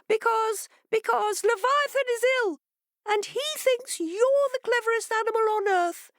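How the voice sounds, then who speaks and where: A woman voicing a shoal of small, frightened fish in a high, hesitant voice. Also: no echo, studio quality. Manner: hesitant and flustered.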